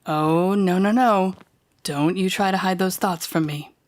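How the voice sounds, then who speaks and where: A woman playing a smug, controlling telepathic villain in an audio roleplay, taunting the listener in a deliberate, condescending manner. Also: lowish voice, no room sound, natural voice.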